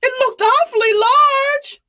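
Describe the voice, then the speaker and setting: A woman voicing a mischievous, excitable young shepherd boy in a children's story, calling out in exclamations with drawn-out words. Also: extremely high pitch, pitched above the performer's own voice, heavily acted. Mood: startled, wondering. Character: mischievous shepherd boy, gleeful then panicked, crying wolf.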